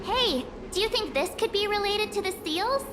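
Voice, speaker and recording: A woman's voice: very high voice, expressive, moderate pace, noisy background. A woman voicing a chipper, curious young companion girl in a video game, with a childlike, chatty delivery over music. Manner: casual, chatty.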